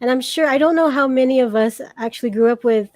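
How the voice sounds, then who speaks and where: A woman moderates a panel, introducing the guests and putting questions to them at a measured pace. Her voice is a touch higher than usual and has a mellow, warm tone. It comes across close and dry, with no room sound around it. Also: no room sound. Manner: measured, with fillers and restarts.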